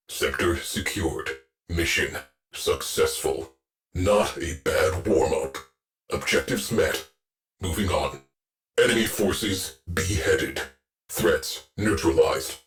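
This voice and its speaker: An actor playing a terse, stern male shipboard AI in a video game, giving clipped, deadpan, commanding alerts. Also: low voice, full character voice. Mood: flat, satisfied. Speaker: ship AI giving mission alerts. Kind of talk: scripted game voice lines, clipped announcements.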